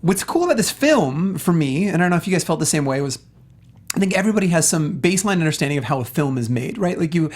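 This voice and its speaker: A man's voice: on the high side, very animated, fast. A man co-hosting a casual film discussion show, speaking with emphatic, earnest enthusiasm. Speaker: talk show co-host.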